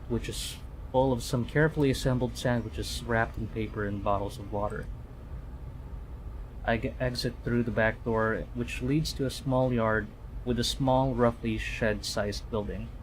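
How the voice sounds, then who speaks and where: A man streaming a visual novel, reading the lines aloud in a somewhat halting way. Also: mid-range voice, rough recording. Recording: faint background noise.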